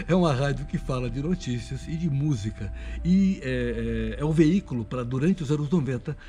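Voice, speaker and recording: A Brazilian man talks in a relaxed, promotional interview style. His voice sits at a medium pitch and his manner is enthusiastic. Light music plays behind him. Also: moderate pace. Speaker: radio drama voice actor. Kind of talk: promotional interview, casual talk.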